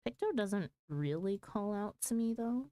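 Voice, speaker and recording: A woman's voice: mid-range voice, expressive, unhurried, mellow, dry recording, studio quality. A woman chatting casually on a gaming livestream, thinking aloud in a hesitant way. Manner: hesitant, thinking aloud, mulling over choices. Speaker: game livestreamer.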